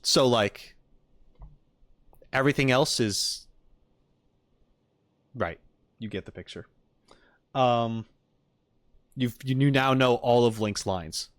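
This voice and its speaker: A man's voice: mid-range voice, slow, pauses often, no echo. A man chatting casually on a livestream as he reacts to game clips, opinionated and jokey, speaking into a close microphone.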